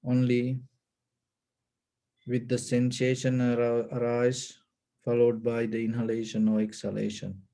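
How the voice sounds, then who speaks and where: A man leads a guided meditation with a dark, mellow tone. His delivery is calm and gentle, giving each instruction deliberately, one step at a time. The voice sits at an ordinary male pitch. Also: gravelly. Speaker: meditation teacher, Buddhist guide.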